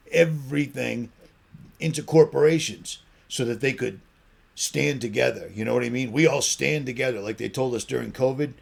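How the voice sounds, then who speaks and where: A middle-aged American man with a gravelly, creaky voice at an average pitch. He talks off the cuff like an online channel host, bluntly pressing his points with rhetorical questions. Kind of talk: off-the-cuff monologue commentary.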